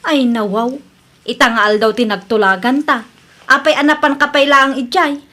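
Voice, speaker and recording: Female actor for impatient, bossy employer, high voice, Ilocano Filipino accent, radio drama comedy. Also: steady tone, normal speed, pushed and bright.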